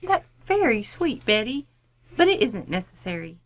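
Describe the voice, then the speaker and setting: A woman's voice: a little high, sing-song, moderate pace, no echo. A woman playing a composed, dry-witted wife and mother in her thirties in an old-time radio family comedy. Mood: patient. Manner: wry, composed.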